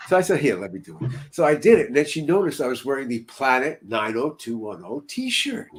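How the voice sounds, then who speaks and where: A man's voice: mid-range voice, big pitch swings, brisk. Chatty older man telling stories. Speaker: podcast co-host. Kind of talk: casual chat, telling anecdotes. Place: room at home, video call.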